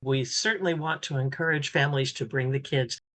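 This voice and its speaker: A woman's voice: very deep voice, brisk, a bit bright. A woman hosting a radio interview, asking questions and giving announcements.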